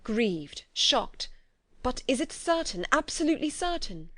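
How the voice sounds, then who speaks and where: A woman reading the part of a concerned young gentleman, speaking gently but with restraint. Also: high voice, big pitch swings, a little slow, no room sound, pitched above the performer's own voice, full character voice. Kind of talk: audiobook character voice.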